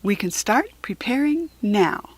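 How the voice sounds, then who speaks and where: A woman's voice: a little high, lively intonation, measured pace, husky, dry recording. A woman reader voicing a kindly schoolteacher who can be stern, in a children's storybook. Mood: approving.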